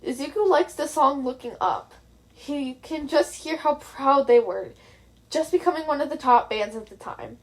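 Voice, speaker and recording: A woman's voice: sits high, moderate pace. A woman reading fan fiction aloud as an amateur narrator, in scripted storytelling with casual asides.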